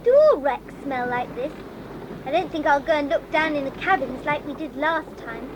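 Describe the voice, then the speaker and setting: Excitable little girl, super high squeaky voice, bit of hiss. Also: moderate pace. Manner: breathless, eager, a little fussy. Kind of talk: 1980s audio drama acting. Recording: faint hiss of old cassette.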